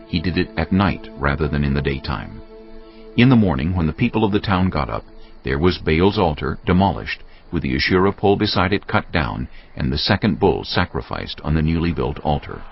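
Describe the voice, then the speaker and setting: A male narrator with a deep, creaky voice and highly animated delivery. He reads scripture as dramatic storytelling over music. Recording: muffled audio under music.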